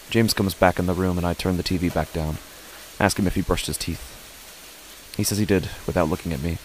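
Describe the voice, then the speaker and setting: A man's voice: slight background noise, rough recording. A man reading scripted horror fiction as a first-person narrator. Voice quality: low-pitched. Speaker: podcast host and narrator.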